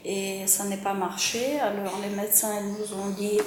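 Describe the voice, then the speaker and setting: Slow, halting woman. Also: mid-range voice, little pitch movement, reverberant, noisy background. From Ukraine. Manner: hesitant, halting, laughs softly. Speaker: patient. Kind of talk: interview testimony.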